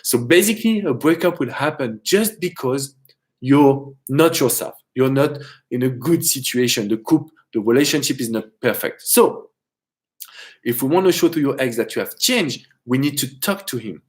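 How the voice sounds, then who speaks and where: A man's voice: mid-range voice, expressive, normal speed. A man with a strong French accent, a relationship coach giving advice on a live stream and greeting viewers by name.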